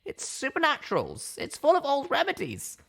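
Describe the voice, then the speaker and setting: A woman playing an earnest, careful young unicorn mare in an audiobook, with a husky, creaky voice. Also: high-pitched, huge pitch swings, normal speed, a bit bright, exaggerated voice. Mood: careful, hopeful.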